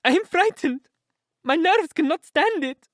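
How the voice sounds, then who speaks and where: A man voicing a frightened, feeble, nervous gentleman in an audiobook story, pleading and panicky. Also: extremely high pitch, expressive, quick pace, dry recording, clean studio sound, pitched above the performer's own voice. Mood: terrified.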